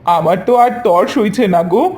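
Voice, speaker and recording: Man playing a high-voiced proud fox husband. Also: expressive, measured pace, darkish tone. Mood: delighted. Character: proud, harsh male fox husband and father. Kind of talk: animated fairy-tale character voice.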